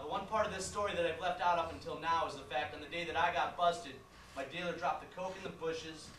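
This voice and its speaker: A man telling his own story as a measured narrated stage monologue. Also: a little high, fairly bright tone, reverberant, distant mic, slight background noise, poor audio.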